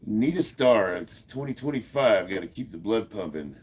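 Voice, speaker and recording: A man in his fifties with a deep, gravelly voice, stuffed up, chatting casually on a livestream and answering viewer comments with frequent chuckles. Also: animated, moderate pace. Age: 50s. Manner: laid-back, chuckles often, many fillers. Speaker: livestream host.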